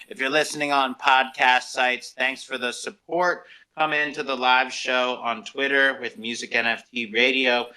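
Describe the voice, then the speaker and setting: A man's voice: mid-range voice, level tone, moderate pace, few pauses, bright, forceful tone. A man hosting an interview show, introducing guests and putting questions to them. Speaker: radio show host.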